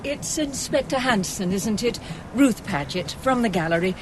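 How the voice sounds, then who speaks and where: An actress playing a polite, anxious gallery assistant in a radio drama, chattering nervously. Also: female voice, a little high, animated, normal speed, background noise, lightly acted. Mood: fretful.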